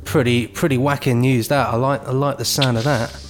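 A man's voice: medium pitch, fairly even intonation, brisk, soft background music. A man with a British accent chatting casually and playfully as a podcast co-host. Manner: jokey, playful. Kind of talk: casual scripted-and-ad-libbed chat.

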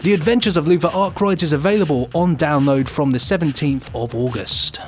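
A man's voice: on the high side, brisk, soft background music. A man co-hosting a podcast with jokey, informal banter and news reading. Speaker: podcast co-host.

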